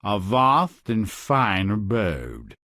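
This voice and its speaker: Casting: genial, pompous storybook king, stately slow delivery with expressive swings. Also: on the low side, no echo, studio quality, full character voice. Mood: pompous, pleased. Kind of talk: video game fairy-tale voice acting.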